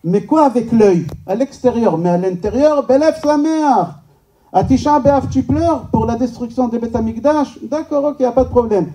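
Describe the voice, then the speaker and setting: Male rabbi, native Hebrew speaker, high-pitched voice, lecturing informally and emphatically, recorded at a distance in a hall. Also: normal speed, warm tone. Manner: rhetorical questions, emphatic repetition, joking. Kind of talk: informal religious lecture to a live audience. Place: medium-sized indoor synagogue function room.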